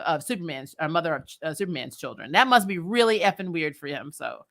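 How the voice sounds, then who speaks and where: A woman hosting a casual fan recap livestream, animated and giggly, giving emphatic opinions and repeating herself for effect. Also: mid-range voice. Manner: giggly, amused. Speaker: host of a fan recap show. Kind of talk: casual livestream chat, reading and answering viewer comments.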